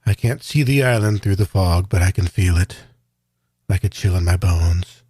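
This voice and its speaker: A grave, reflective man played by an actor speaks a regretful monologue in an audio drama. His voice is deep, dark and husky, in a very dry recording. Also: normal speed, dry recording. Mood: solemn, resolved. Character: solemn man facing fear and old regrets. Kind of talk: dramatic first-person monologue.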